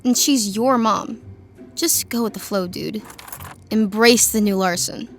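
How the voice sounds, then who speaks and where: A woman's voice: mid-range voice, a little slow, a little hiss or hum, close to an everyday voice. An actress playing a gossipy, breezy teenage girl with slangy, sarcastic speech. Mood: breezy, teasing. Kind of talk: casual audio drama dialogue.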